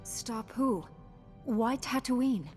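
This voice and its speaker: An actress playing a dutiful, wry young Jedi Knight heroine in a video game. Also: female voice, a little high, very slow, a little mellow, in a large hall, soft background music. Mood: puzzled, urgent. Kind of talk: video game cutscene acting.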